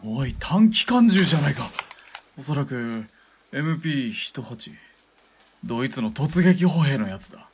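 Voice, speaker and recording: An actor playing a grumbling, mercenary partner in an assassin duo in a radio drama, with a casual, sardonic way of talking. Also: male voice, mid-range voice, animated, a little slow, a little mellow, breathy edge. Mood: surprised. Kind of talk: Japanese radio drama acting.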